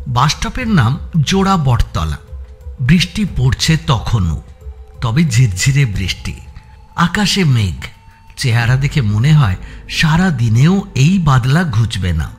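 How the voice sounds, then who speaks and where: Lively male narrator of a suspense story. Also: medium pitch, takes it slowly, faint music behind.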